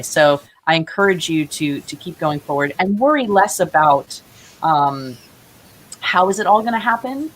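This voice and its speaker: Female writer guest, low register, earnest and encouraging, faint hiss on the remote recording. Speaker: interview guest, playwright. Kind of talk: casual remote interview talk. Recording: hissy, noisy remote call audio.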